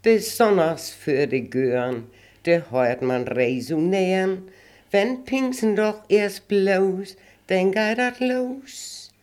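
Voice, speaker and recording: An older woman with a Low German accent slowly reciting rhymed dialect poems in a measured, sing-song way. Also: darkish tone. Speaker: interviewee reciting dialect verse.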